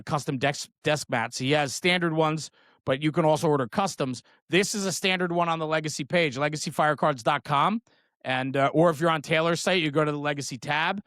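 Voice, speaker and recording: A man's voice: a little high, fairly bright tone, no room sound, studio quality. A middle-aged man in a casual, enthusiastic show-and-tell chat, speaking informally with slang and often checking for agreement. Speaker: guest, trading card brand founder.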